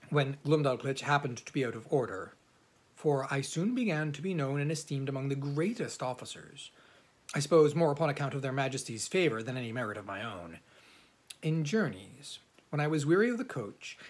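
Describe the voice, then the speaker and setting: A man narrates a classic novel in a husky, slightly rough voice. His delivery is measured and formal, suited to old-fashioned literary prose, in the manner of an amateur audiobook reader. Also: fairly high pitch.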